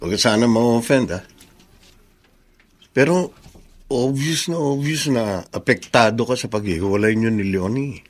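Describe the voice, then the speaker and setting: A man's voice: medium pitch, normal speed, long pauses, natural voice. A man playing a frank, reasonable, questioning friend in a radio drama. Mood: probing. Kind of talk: Tagalog radio drama acting.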